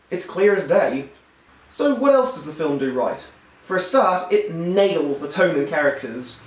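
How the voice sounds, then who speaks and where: A man with a high voice that has gone hoarse delivers a wry, upbeat film review. He sounds set back from the microphone, and the room adds a noticeable echo. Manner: wry, enthusiastic critique. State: hoarse, losing his voice. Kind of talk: scripted video review. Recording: roomy echo, distant mic.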